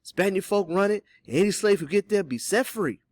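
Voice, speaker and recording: A man's voice: high-pitched, lively intonation, brisk, a little mellow, no echo, pitched above the performer's own voice. A man voicing an old enslaved Southern man in an audiobook, sly and hopeful, giving urgent, folksy counsel. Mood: cautioning. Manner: whispering Southern dialect speech, urgent and folksy.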